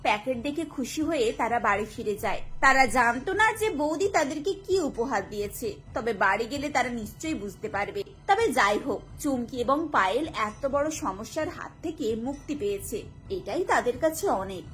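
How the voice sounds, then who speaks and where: A woman's voice: fairly high pitch, expressive, moderate pace, fairly bright tone, faint music behind. A woman narrating a scripted cartoon family story.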